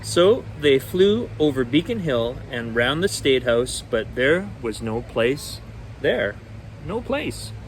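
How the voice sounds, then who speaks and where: Guy reading a kids picture book out loud, medium pitch, pretty noisy background. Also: slow. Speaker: bedtime story reader. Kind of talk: children's storybook read aloud. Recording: clear steady background noise.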